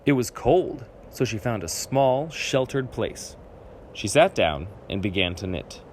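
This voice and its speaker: A man reading a children's picture book aloud expressively, with dramatic pauses. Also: mid-range voice, animated, a little mellow, a little hiss or hum. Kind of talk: expressive storybook read-aloud.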